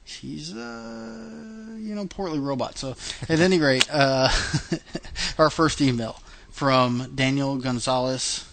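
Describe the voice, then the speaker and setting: A man's voice: a little high, slow, gravelly, background noise. Chatty male podcast host. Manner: chatty, laughs often, many fillers and restarts. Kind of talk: casual chat.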